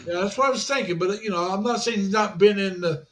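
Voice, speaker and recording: A man's voice: high voice, fast, fairly bright tone, a little hiss or hum. A man hosting a livestream in casual group chat, greeting guests and reading out viewer comments. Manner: chatty, reads out viewer comments. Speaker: livestream host.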